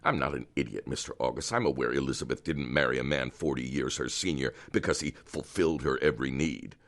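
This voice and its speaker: An actor playing a cold, controlling older man in an audio drama, threatening and swinging from hushed to loud. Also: exaggerated voice. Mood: cold menace. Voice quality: gravelly, very deep. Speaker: Doctor Barrows, wealthy older power broker.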